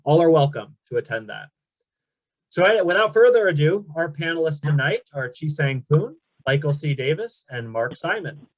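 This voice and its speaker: A man's voice: a little high, big pitch swings, normal speed, dry recording, poor audio. A man moderating a panel webinar over a video call, friendly and upbeat with frequent ums. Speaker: event moderator.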